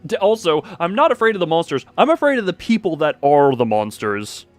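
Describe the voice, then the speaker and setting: A man's voice: on the high side, very animated, a little fast, dry recording. A man casually commentating a live game stream, rambling and thinking aloud, often stumbling on the starts of his words. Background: game music.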